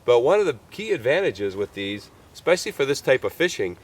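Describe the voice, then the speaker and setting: A man's voice: medium pitch, very animated, brisk. Folksy man with a stuffy cold. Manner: chatty and folksy, lots of "uh" and "folks". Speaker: fishing show host. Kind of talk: casual outdoor how-to talk to camera. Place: outdoors on a creek shoreline.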